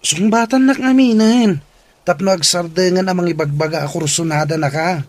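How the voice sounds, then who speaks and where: A man's voice: sits high, normal speed, no room sound, close to an everyday voice. A young man with an Ilocano Filipino accent acting a cocky, mocking student and rival suitor in a radio comedy-drama. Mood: scornful.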